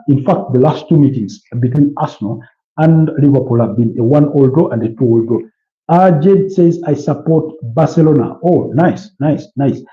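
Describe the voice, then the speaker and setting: Ugandan man on an echoey livestream. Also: mid-range voice. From Kampala, Uganda. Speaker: livestream host. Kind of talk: casual football preview and chat with viewers. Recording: dull, roomy, echoey sound.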